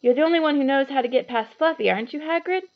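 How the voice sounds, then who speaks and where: A woman's voice: high-pitched, fast, muffled recording, pitched above the performer's own voice. A woman voicing an inquisitive, earnest, slightly anxious young schoolboy in a children's storybook.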